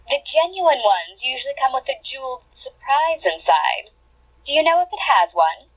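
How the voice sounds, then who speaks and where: A woman's voice: high-pitched, very animated, normal speed, slightly rough, narrow, tinny audio, full character voice. A woman playing a coy, evasive young thief who poses as a browser in a radio play. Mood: curious, guarded. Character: coy, cool-headed young female thief posing as browser.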